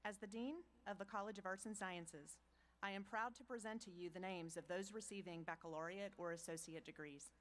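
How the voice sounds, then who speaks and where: A woman dean reading out graduates' names slowly and formally at an outdoor commencement ceremony, speaking into a podium microphone. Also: medium pitch, fairly even intonation, a bit bright. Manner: slow, measured, pausing between names.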